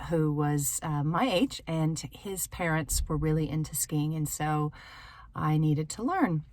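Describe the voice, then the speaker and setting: Female vlog storyteller, somewhat low-pitched, casual and animated, giggly, acts out dialogue, recorded in a parked car. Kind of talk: casual personal storytelling to viewers.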